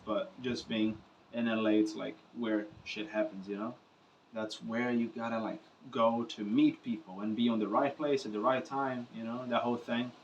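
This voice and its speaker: A man's voice: medium pitch, distant mic, dull audio, slight background noise. A young Brazilian man, Portuguese his first language, speaking English unscripted to the camera in a loose, rambling way with filler phrases and swearing. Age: young adult. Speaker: musician vlogger.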